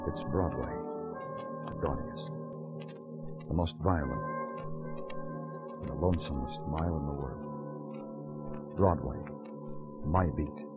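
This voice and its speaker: An actor playing a terse, weary police detective in a brooding old radio noir style. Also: male voice, a bass voice. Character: hard-boiled, weary male New York police detective. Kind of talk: 1950s radio noir acting and narration. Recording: muffled vintage radio broadcast.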